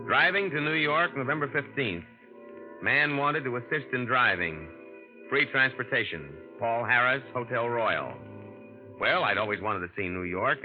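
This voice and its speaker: A man's voice: lowish voice, close to an everyday voice. A man playing a streetwise young drifter in an old radio drama, telling his story in a wry, tough-guy way. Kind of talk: 1940s radio drama acting. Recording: tinny old radio broadcast with music.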